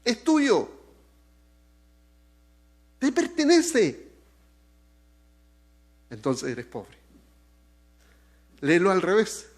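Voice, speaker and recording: A man from Chile with a Chilean accent, preaching a sermon with lively swings in pitch and loudness and joking asides to the congregation. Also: extremely slow, pauses often. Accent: Chilean Spanish. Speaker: pastor.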